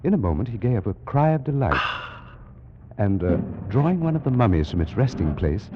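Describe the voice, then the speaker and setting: An actor playing a scholarly British Egyptologist, formally recounting an eerie adventure in a 1940s radio drama. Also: male voice, on the low side, big pitch swings, normal speed. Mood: uneasy, suspenseful. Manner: formal, precise, dramatic storytelling. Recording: muffled, noisy vintage radio recording.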